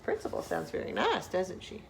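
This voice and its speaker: A woman's voice: lowish voice, wildly swooping pitch, moderate pace, gravelly, slight background noise, low-quality recording. A woman storyteller reading a picture book expressively to children, engaging listeners with rhetorical questions and asides. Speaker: read-aloud storyteller.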